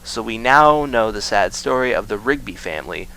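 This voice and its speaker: A man's voice: fairly low pitch, lively intonation, moderate pace, bright, forceful tone, slight background noise, low-quality recording. A man narrating a scripted video essay that explains a horror series.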